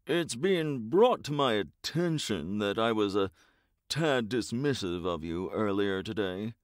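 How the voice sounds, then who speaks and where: A man's voice: on the low side, lively intonation, measured pace, clean studio sound. An actor playing a vain, pompous male superhero in a comedic audio drama. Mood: sheepish. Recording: roomy echo with faint music behind.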